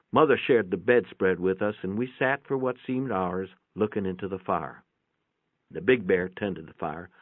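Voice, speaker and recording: Low-voiced man reading, thin phone-like sound. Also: no room sound. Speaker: story reader. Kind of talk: dramatic reading of a short story, first-person narration. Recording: thin band-limited phone-like sound, dry.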